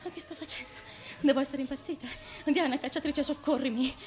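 An actress playing a murdered young queen of ancient Corinth in a radio drama, dazed and proud, with a breathy, incantatory, repetitive delivery. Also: sits high, fairly even intonation, a little fast, a little mellow, close to an everyday voice. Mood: pleading, confused. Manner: incantatory, repetitive, shifting from murmurs to cries. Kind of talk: theatrical radio drama monologue and dialogue.

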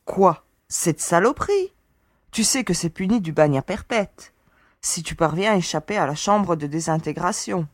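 Woman playing a low-voiced, tough young space agent. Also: animated, moderate pace, no echo, studio quality. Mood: indignant. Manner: direct, frank, familiar. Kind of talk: French audio drama acting.

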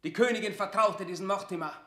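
An actor playing a haughty, scheming earl in a classical verse radio drama, declamatory and pompous. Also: male voice, high voice, fast, pushed and bright, echoey room. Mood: accusing, heated. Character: haughty, scheming earl, a self-assured courtier.

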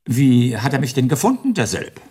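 Gravelly, crotchety old judge. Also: male voice, medium pitch, big pitch swings, dark tone. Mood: mocking. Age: older. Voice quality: gravelly, husky. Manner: halting, addresses listener archaically in third person. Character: crotchety elderly retired male judge, sardonic and reminiscing. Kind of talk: German radio play acting.

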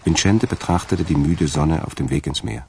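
A man narrating a radio drama from a script, in a deep, gravelly voice. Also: normal speed, warm tone, slight background noise.

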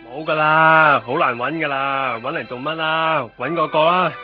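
An actor playing a blunt, streetwise dealer in a radio drama, slangy and grumbling, with a Hong Kong Cantonese accent. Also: male voice, fairly high pitch, steady tone, moderate pace, lightly acted. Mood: discouraging. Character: grumbling, streetwise male collectibles dealer friend. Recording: muffled 1990s radio, music behind.

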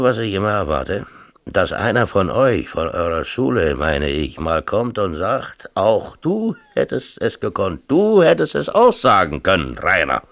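An actor playing an agitated, self-pitying middle-aged mayor in a radio drama, rambling and insistent in colloquial talk. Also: male voice, lowish voice, vocal fry, exaggerated voice. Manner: rambling, insistent, peppers talk with tag questions. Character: agitated, self-pitying small-town mayor and exasperated father. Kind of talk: 1970s East German radio drama acting, colloquial dialogue.

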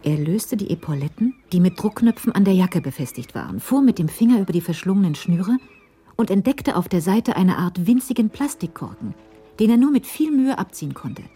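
A woman narrating a literary crime story in a radio drama, in a measured storytelling manner. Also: medium pitch, expressive, dark tone, slightly rough, soft background music. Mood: measured, suspenseful.

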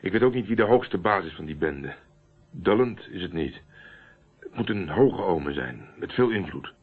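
An actor playing a dogged, self-assured private investigator in a radio drama, matter-of-fact and clipped, on a narrow old radio recording. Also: male voice, low-pitched, lively intonation, normal speed, breathy edge. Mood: subdued, pondering.

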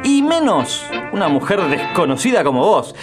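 Woman playing a condescending, animated male radio director. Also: lowish voice, moderate pace, over music. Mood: scornful, condescending. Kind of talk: radio drama acting.

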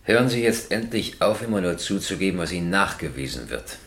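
A man's voice: low-pitched, sing-song, fairly quick, a bit bright, heavily acted. An actor playing a stern presiding judge in a German radio crime drama, formal and probing in his questioning. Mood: admonishing.

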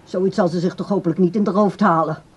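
An actress playing a controlling grown daughter in a radio drama, speaking in a brisk, formal, placating way. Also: female voice, on the low side, fairly even intonation, breathy edge, muffled recording. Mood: firm. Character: polite but evasive, controlling adult daughter.

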